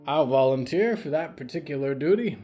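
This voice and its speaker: A silver-haired old cowboy tracker, played by a man in an audio story. He speaks in a folksy, courteous way with animated pitch movement. He comes across as calm and practical. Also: fairly quick, soft background music, natural voice. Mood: resolute. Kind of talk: audio fiction story acting.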